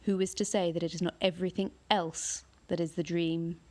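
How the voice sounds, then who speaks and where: A woman reading aloud, voicing a huge ancient male tree monster with slow, booming declamation. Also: flat delivery, darkish tone, lightly acted.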